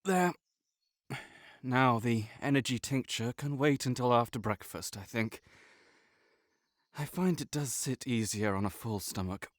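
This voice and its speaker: A man playing a sickly, proud and melancholy nobleman in an intimate audio roleplay, speaking formally with measured, old-fashioned phrasing. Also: medium pitch, dry recording, clean studio sound, natural voice. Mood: dry, mildly testy.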